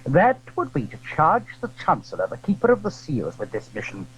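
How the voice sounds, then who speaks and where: Husky, scheming Cardinal, swooping delivery. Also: male voice, fairly high pitch, wildly swooping pitch, fairly quick, exaggerated voice, poor audio. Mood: deferential, guarded. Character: calculating, deferential Cardinal scheming at the royal court. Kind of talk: theatrical audio drama acting. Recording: 1980s audio drama recording.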